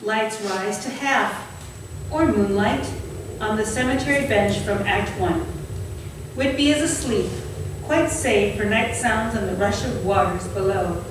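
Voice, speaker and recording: A woman narrating stage directions in a scripted, measured way at a staged reading. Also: mid-range voice. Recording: distant, very echoey, noisy stage recording.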